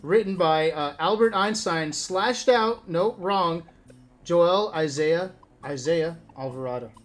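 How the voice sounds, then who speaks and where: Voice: mid-range voice, takes it slowly. A streamer reading a book aloud in a casual way, breaking off for wry asides and talking directly to viewers. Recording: music playing behind the voice. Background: background music.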